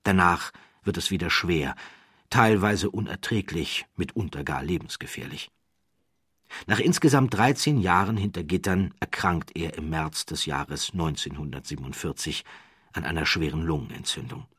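A man narrating an audiobook story from a script in a measured, emphatic way, with a husky voice and deliberate pauses. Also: sits low, a bit bright, dry recording, studio quality. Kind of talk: scripted dramatic narration of a true crime story.

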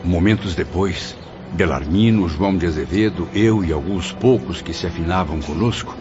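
A man's voice: low-pitched, normal speed, natural voice. A man acting a solemn spirit who narrates his memoirs in dramatic, scripted radio style. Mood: reflective, solemn. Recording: muffled audio under music.

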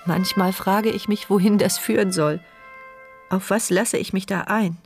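Actress as reflective heroine, female, mid pitch, intimate inner narration, tender, resilient, measured, German radio drama. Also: steady tone, soft background music, natural voice. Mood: reflective, hopeful. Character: woman crime-victim narrator, reflective, tender, wounded but resilient.

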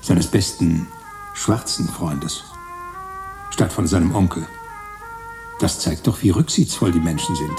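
An actor playing a wry, digressive narrator in a literary radio play, musing in a conversational, ironic way. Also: male voice, low voice, huge pitch swings, a little slow, long pauses, music in the background, heavily acted. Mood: ironic wonder.

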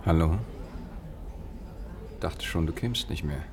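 Radio drama: deep-voiced thirties man, flirty but hesitant, faint background noise. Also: animated, slow pace, heavily acted. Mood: flirting, coaxing. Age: 30s. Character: man in his mid-thirties, evasive, flirting part-time disc jockey. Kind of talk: German radio play dialogue.

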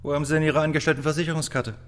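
A man's voice: a little high, level tone, very fast, slight background noise, close to an everyday voice. An actor playing a haughty male department-store personnel chief with a clipped, dismissive manner in a radio play. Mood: curt, officious.

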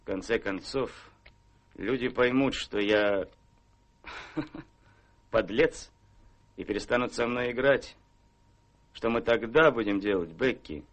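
A middle-pitched voice gives this gentleman gambler , played by a man, a weary, worried air. He speaks with glum, dry irony in theatrical radio-play style. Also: slow, pauses often, close to an everyday voice. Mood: worried, bitter.

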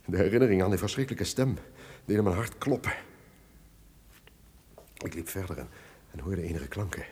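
An actor playing a tyrannical, haunted and wrathful duke in an old radio drama, declaiming melodramatically. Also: male voice, low-pitched, lively intonation, normal speed, darkish tone. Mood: haunted, shaken. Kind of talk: old radio play acting. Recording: noisy old radio recording.

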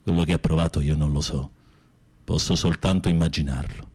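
A deep, husky, wistful male prisoner. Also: steady tone, brisk, darkish tone, heavily acted. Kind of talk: radio drama acting.

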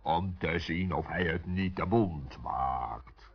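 An actor playing a huge, gruff, bossy giant with a slow, ponderous delivery in a children's radio play. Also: male voice, low-pitched, heavily acted. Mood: gruff amusement. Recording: dull, muffled old recording.

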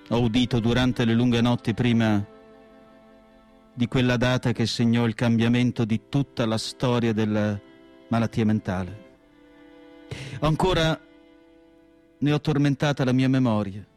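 A male actor playing a tormented asylum psychiatrist in a solemn, deliberate radio monologue with dramatic pauses. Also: mid-range voice, darkish tone, lightly acted. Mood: haunted, brooding. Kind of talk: dramatic literary monologue, theatrical radio acting.

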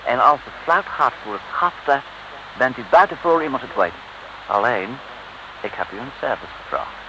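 An actor playing a polite, secretive British police inspector with an English accent, mixing English words in, in old radio serial style. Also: male voice, mid-range voice, big pitch swings, moderate pace. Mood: earnest, instructing. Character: courteous British male police inspector working undercover. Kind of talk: 1950s-60s radio serial acting. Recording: noisy old radio recording.

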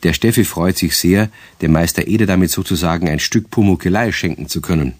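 A man's voice: deep voice, fairly quick, no echo. A man narrating a children's radio play as a scripted storyteller. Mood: storytelling.

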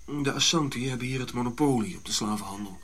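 An actor playing a brusque, scheming Dutch colonial general with a blunt, commanding manner in a radio drama. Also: male voice, mid-range voice, fast, a bit bright, natural voice. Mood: scheming, pragmatic.

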